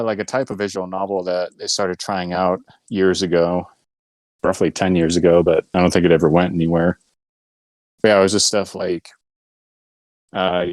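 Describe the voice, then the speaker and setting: A man's voice: sits low, no room sound. A man co-hosting a podcast, explaining things in a casual, rambling way. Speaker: podcast co-host.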